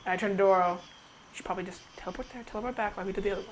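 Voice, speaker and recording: A woman's voice: mid-range voice, very fast, rough recording. A woman playing a tabletop fantasy game, casually describing her character's actions and speaking her lines.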